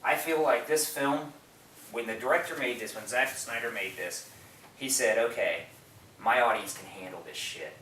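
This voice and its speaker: A man's voice: mid-range voice, bright, forceful tone. A man co-hosting a movie podcast, explaining things in a rambling, enthusiastic way during a casual film discussion. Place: echoey room, away from the mic. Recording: roomy, distant mic, faint noise.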